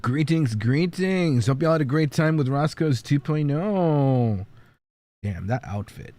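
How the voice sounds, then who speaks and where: A man's voice: on the high side, lively intonation, slow pace, a little mellow, no room sound. A man streaming live, chatting casually and thanking viewers by name, laughing often. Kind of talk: casual livestream chat. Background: faint background music.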